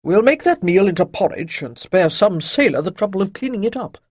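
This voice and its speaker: A narrator voicing an elderly wise male master, speaking in a patient, gently lecturing way. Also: medium pitch, animated, fairly quick, no room sound, studio quality, pitched above the performer's own voice, lightly acted. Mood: kindly.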